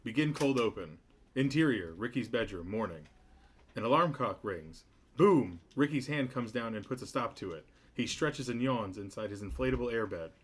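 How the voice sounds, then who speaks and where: A man's voice: mid-range voice, animated, moderate pace, breathy edge. A man hosting a table read, introducing the cast and reading stage directions in a matter-of-fact way. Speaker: host and narrator of a table read.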